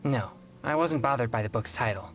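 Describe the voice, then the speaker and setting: A man's voice: mid-range voice, a little fast, faint music behind, lightly acted. A man voicing a serious, polite teenage boy exorcist in a video game, speaking in a formal, earnest and courteous manner. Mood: indignant. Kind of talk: scripted video game voice acting.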